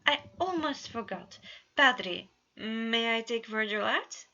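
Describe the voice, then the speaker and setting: A woman's voice: fairly high pitch, expressive, slow pace, pushed and bright, muffled recording, pitched above the performer's own voice, exaggerated voice. A woman voicing a confident, flowery young romantic man in a theatrical manner, in a story reading. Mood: courtly.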